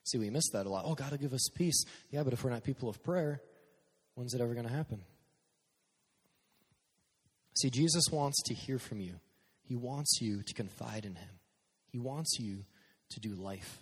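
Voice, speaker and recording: A man preaching a casual, energetic sermon to young people on a stage microphone, dropping to quiet prayer at times. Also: medium pitch, normal speed, husky, big-room sound. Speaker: youth pastor. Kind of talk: youth sermon. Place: church hall with a live sound system.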